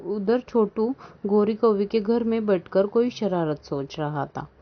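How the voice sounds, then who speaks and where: A woman's voice: medium pitch, measured pace. A woman reading scripted narration for a children's animal story. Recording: dull lo-fi sound.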